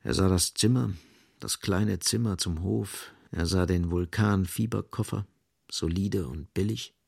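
Low, creaky-voiced male radio play narrator. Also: unhurried, a little mellow.

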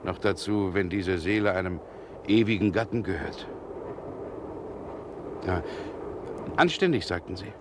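An actor playing a self-assured middle-aged gentleman in a German radio drama, musing and ironic in a deliberate way. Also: low voice, noisy background.

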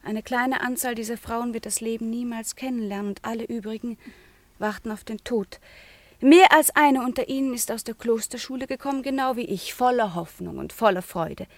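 Proud, sorrowful convent girl of eighteen, played by a woman, high voice, dry studio, intense classical radio-play delivery with hushed dips. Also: moderate pace, dry recording, natural voice. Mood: earnest, melancholy. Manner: intense, declamatory, often dropping to a hushed whisper. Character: proud, melancholy eighteen-year-old convent-schooled girl, passionate and bitter. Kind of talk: classical German radio drama acting.

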